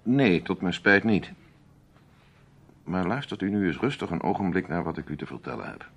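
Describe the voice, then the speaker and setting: A man playing a suave, imperious middle-aged army major in a radio drama, courteous, measured and condescending. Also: low-pitched, animated, gravelly, breathy edge, full character voice. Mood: calm, explanatory.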